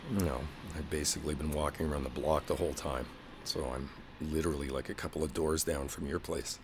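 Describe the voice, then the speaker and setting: A man playing a frustrated, wounded boyfriend in a one-sided audio roleplay, talking in a naturalistic, halting way with a husky voice. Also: rumbling low, fairly even intonation, noisy background. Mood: subdued, guarded. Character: frustrated, wounded boyfriend arguing with his partner.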